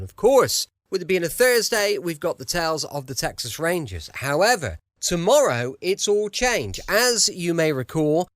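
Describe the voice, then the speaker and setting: A British man chats as a podcast host. His voice is slightly high and swings around in pitch, recorded very dry. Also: fairly bright tone, no room sound. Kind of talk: chatty podcast introduction.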